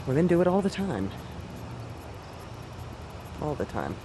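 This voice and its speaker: An actress playing an imperious, manipulative commander's wife in an audio drama, haughty and theatrical. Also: female voice, sits low, big pitch swings, unhurried, background noise. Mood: insinuating, persuasive.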